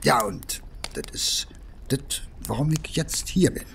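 An actor playing a humble, nervous working-class messenger with a Berlin accent, rambling and hesitant in colloquial speech, in an audio drama. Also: male voice, medium pitch, animated, slow, gravelly, noisy background. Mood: confiding, anxious. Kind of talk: German audio drama acting.

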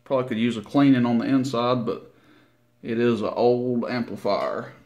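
A man giving a casual, unhurried hands-on demonstration in a folksy, informal way. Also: medium pitch, a little mellow. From Tennessee, United States. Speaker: CB radio hobbyist presenting his equipment. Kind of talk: casual hands-on demo narration. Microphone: handheld camera mic, operator behind it.

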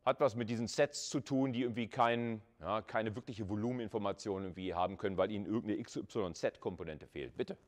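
A man's voice: on the low side, fairly quick, hardly any pauses. A man lecturing at a university in an informal, conversational way, thinking aloud and putting questions to students.

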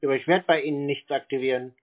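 A man's voice: mid-range voice, steady tone, fast talker. Unimpressed man on a thin phone line. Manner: terse, unimpressed, contrary. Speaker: scambaiter taking a sales call. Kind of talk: casual phone conversation. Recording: narrowband phone sound.

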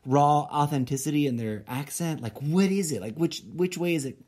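A man who grew up speaking Spanish in Mexico, hosting a solo podcast and thinking out loud, rambling with lots of fillers and restarts. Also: a little high, moderate pace. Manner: rambling, self-interrupting, many fillers and restarts. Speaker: podcast host. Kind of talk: solo late-night musing podcast.

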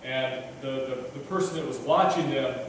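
A man's voice: mid-range voice, normal speed, slight background noise. A man in his thirties giving a casual sermon at a church pulpit, chatty and self-deprecating, stumbling over his words now and then. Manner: conversational, many restarts and fillers, self-deprecating. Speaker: preacher. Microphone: pulpit microphone, filmed from a distant camera. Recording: echoey church from far off.

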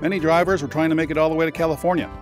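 A man narrating a road trip travel video in a measured, informative tour-guide manner. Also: a little high, fairly even intonation. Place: voice-over, not on location. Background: guitar music.

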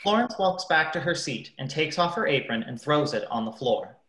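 A woman's voice: sits low, very animated, normal speed, a bit bright. A woman narrating a radio play, reading scripted stage directions. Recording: echoey room.

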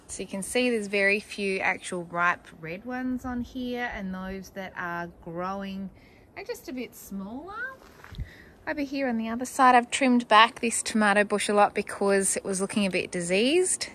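A woman's voice: medium pitch, moderate pace, slight background noise. Chatty Australian woman touring her garden. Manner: chatty and relaxed, with fillers and small laughs. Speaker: gardening vlogger. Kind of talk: casual walk-through garden tour. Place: backyard vegetable garden, outdoors.

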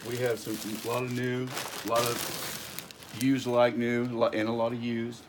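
A man's voice: medium pitch, completely flat delivery, a little slow. A middle-aged man walking through a large warehouse, casually listing stock item by item, often repeating himself, recorded on a nearby phone camera. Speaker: warehouse tour guide. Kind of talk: walkthrough listing inventory. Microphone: handheld phone camera nearby. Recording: noisy warehouse phone video.

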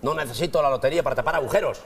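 Quick, brisk male comedy host. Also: a little high, gravelly. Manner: jokey, punchline delivery. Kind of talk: scripted comedic studio banter. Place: TV studio.

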